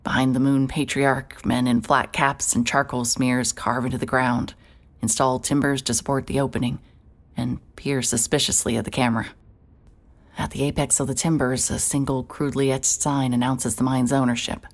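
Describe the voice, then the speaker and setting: Very low-voiced woman narrating horror. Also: level tone, moderate pace. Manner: tense, breathless, with sudden shouts and hushed whispers. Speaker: narrator and lead character. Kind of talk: first-person horror audio drama narration.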